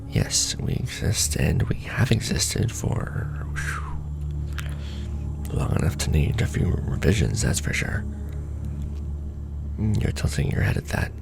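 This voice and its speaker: A man playing a jaded, sardonic manager at a secret society in an ASMR roleplay, speaking in a dry, world-weary deadpan. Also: lowish voice, creaky, exaggerated voice. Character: jaded, sardonic male Illuminati manager briefing a new recruit.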